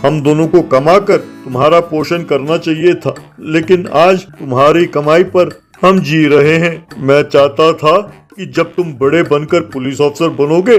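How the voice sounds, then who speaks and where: A man's voice: a little high, lightly acted. A man voicing a sick, sorrowful father speaking with regret in a cartoon story. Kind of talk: scripted cartoon dialogue.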